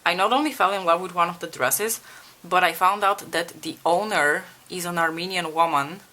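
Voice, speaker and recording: A woman's voice: fairly low pitch, moderate pace, pushed and bright. A young woman from Bulgaria chatting candidly to viewers while doing her makeup, asking them for advice and swearing casually. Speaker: beauty vlogger. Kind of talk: casual makeup tutorial chat.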